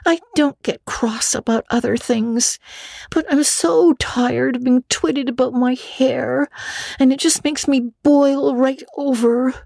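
A woman's voice: high voice, steady tone, slow pace, creaky, a little mellow, dry recording, pitched above the performer's own voice, exaggerated voice. A woman voicing an imaginative, talkative young girl in a storybook reading, earnest and dramatic, with gushing chatter. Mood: indignant, sorrowful.

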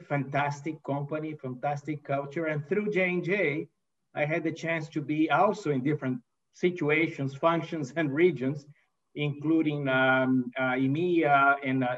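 A man's voice: medium pitch, a bit bright, recorded from a distance. Brazilian-accented man on a muffled remote call. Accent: Brazilian Portuguese. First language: Portuguese. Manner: hesitant, many fillers and restarts, frequent "you know". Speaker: manufacturing executive guest. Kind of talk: conversational interview answers.

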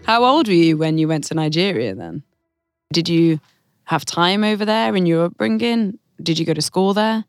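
A woman's voice: fairly low pitch, animated, moderate pace, clear, resonant tone, dry recording, studio quality. A woman interviewer asking questions in a conversational way.

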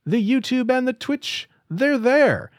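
A man's voice: extremely high pitch, animated, slow, no room sound. An easygoing man wrapping up a gaming podcast, his mid-range voice rising and falling freely. The recording is dry and close to the mic. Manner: easygoing, chatty, many fillers. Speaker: podcast host. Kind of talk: casual podcast chat and outro.

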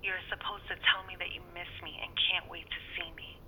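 A woman's voice: lowish voice, flat delivery, brisk, sharp tone, a little hiss or hum, low-quality recording. A woman playing a demanding, scheming girlfriend who chides and coaxes in an audio drama. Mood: mock reproach. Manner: chiding, coaxing. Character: demanding, scheming young girlfriend feigning affection.

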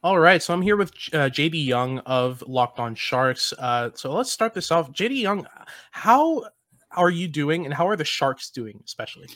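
A man's voice: fairly high pitch, animated, moderate pace, a bit bright, no room sound, studio quality. A young man hosting a podcast, analyzing draft prospects with frequent ums and restarts. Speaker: podcast host.

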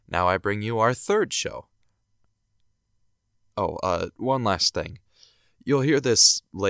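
A man's voice: low voice, creaky, fairly bright tone, no room sound, studio quality. A man hosting and narrating a scripted show in the manner of 1930s and 40s radio. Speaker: show creator, host and narrator.

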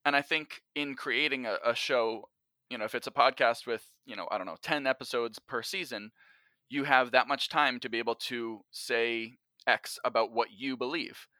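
Bright-voiced man giving emphatic advice. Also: mid-range voice, normal speed, no echo. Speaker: podcast guest, writer and teacher. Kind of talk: conversational advice in an interview.

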